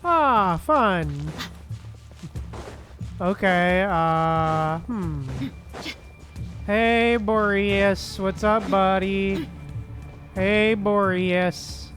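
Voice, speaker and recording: A woman's voice: mid-range voice, extremely slow, long pauses, fairly bright tone. A woman game streamer reacting casually to a playthrough in short, muttered, laid-back remarks. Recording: faint game music behind voice. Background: game music.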